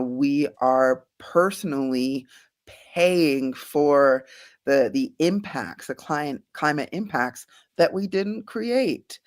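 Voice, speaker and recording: A woman, a guest scientist, answering interview questions earnestly and thoughtfully, with frequent soft laughs. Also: low voice. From Bahamas.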